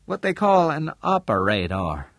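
A man voicing an earnest, shrewd woman who works in real estate, speaking in a halting, deliberate way in an audiobook. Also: wildly swooping pitch, no echo, exaggerated voice. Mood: wary, frank. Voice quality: low, mellow.

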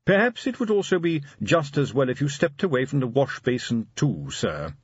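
A man voicing a deferential, unflappable butler in an audiobook, precise, measured and politely dry. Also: mid-range voice, very animated, husky, dry recording, lo-fi sound, voice pushed up. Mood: cautious, deadpan. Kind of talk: audiobook character acting.

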